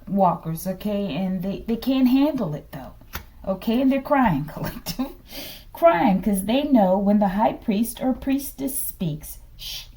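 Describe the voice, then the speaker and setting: Mellow-voiced woman reading tarot cards. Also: on the high side. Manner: repeats rhetorical questions and verbal tags like "okay". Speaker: tarot reader, host of the reading. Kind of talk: improvised tarot card reading to an online audience.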